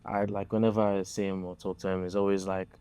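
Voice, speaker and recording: A man's voice: deep voice, flat delivery, fast, dark tone, smooth, dry recording. A young man from Nigeria, a musician being interviewed, talking casually and hesitantly with many fillers. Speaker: guest musician.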